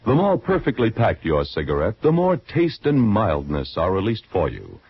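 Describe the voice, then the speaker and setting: A man with an American accent and a husky voice reading a 1950s radio advertisement as announcer. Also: on the low side, wildly swooping pitch, moderate pace, dry recording. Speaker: commercial announcer. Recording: dry, muffled old radio recording.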